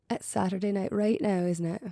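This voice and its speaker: An actress playing a wistful, timid young Scottish farm wife, polite and hesitant, in an audio play. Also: female voice, mid-range voice, mellow, dry recording, studio quality, lightly acted.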